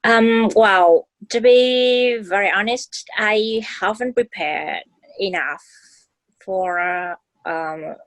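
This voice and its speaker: A woman's voice: mid-range voice, slow, low-quality recording. A woman host from Vietnam with a Vietnamese accent, speaking candidly and hesitantly in casual conversation, with many fillers and repeats.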